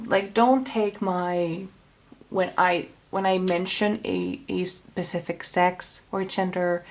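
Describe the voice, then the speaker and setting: Finnish-accented woman doing a tarot reading, rambly and chatty, says sorry a lot. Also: mid-range voice. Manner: rambling, chatty, self-correcting, apologetic. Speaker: tarot reader. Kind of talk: casual tarot reading to camera.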